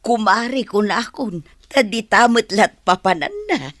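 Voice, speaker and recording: A woman playing a conciliatory middle-aged village mother in a radio drama, husky, with an Ilocano accent. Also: medium pitch, expressive, normal speed, fairly bright tone, no echo, lightly acted. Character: conciliatory village mother of a bride-to-be, slow-talking.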